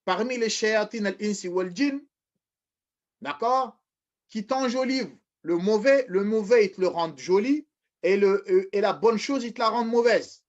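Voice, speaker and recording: A man's voice: high voice, moderate pace. A man giving a religious lecture, repeating phrases, often restarting, and addressing his listeners as brothers and sisters. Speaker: preacher. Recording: dull lo-fi recording.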